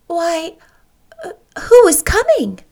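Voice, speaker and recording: A woman putting on a gushing, excitable young girl. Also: sits high, slow pace, voice pushed up, exaggerated voice. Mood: dreamy. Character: eager imaginative young girl teacher. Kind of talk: audiobook character reading.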